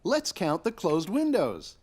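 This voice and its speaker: A man voicing a gentle, patient father in a children's cartoon, soothing and encouraging. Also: high voice, huge pitch swings, slow, exaggerated voice. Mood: warm, patient. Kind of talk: children's cartoon acting, storybook telling.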